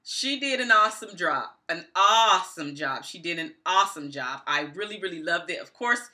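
A woman's voice: on the low side, animated, moderate pace, pushed and bright. A middle-aged woman giving a casual review to camera, stressing her points emphatically and repeating phrases. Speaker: book reviewer, vlogger. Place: small indoor room at home.

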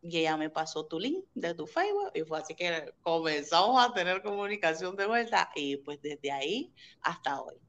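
A middle-aged woman from Nicaragua telling family stories in a casual interview over a webcam, animated and laughing often. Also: fairly low pitch, moderate pace. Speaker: guest, interviewer's sister. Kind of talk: casual video-call interview. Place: home room indoors. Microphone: video call webcam.

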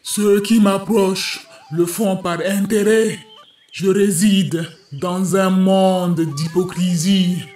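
A man voicing a mighty, lonely lion king in an animated African folktale, speaking solemnly and mournfully. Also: high voice, slow, slight background noise. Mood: sad, bitter. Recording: echoey, distant, over music. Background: music.

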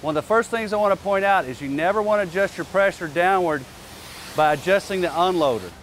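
A man's voice: sits high, a little fast, a little hiss or hum. A man in his forties giving a plainspoken, practical how-to demonstration outdoors on a lapel mic. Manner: plainspoken, practical, casual asides. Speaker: company presenter. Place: outdoor industrial yard.

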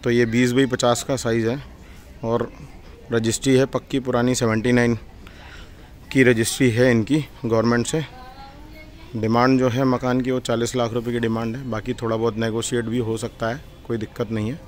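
Man pitching a house in a flat voice. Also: moderate pace. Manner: plain conversational sales pitch with hesitation fillers. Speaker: property dealer. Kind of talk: real estate listing vlog. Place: indoor office with decor shelves, then outdoors walking through a lane.

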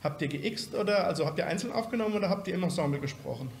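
A man's voice: high voice, lively intonation, rapid-fire, gravelly. A man conducting a casual interview, enthusiastic and full of compliments. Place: indoor hallway at a convention. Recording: echoey room, faint background noise.

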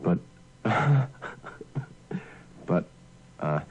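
An actor playing an earnest, nervous son in a soap opera, halting and stammering as he speaks to his mother. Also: sits low, sing-song, husky, dull audio, full character voice. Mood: earnest, hesitant. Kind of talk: soap opera acting, outtake.

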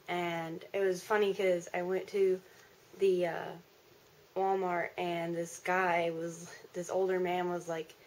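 A woman's voice: fairly low pitch, flat delivery, slow pace, a little mellow. A woman in her twenties chatting casually to camera in an informal, rambling way. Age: 20s. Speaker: vlogger. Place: small room at home, indoors. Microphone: handheld camera at arm's length. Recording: noisy handheld camera in a room. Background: clear background noise.

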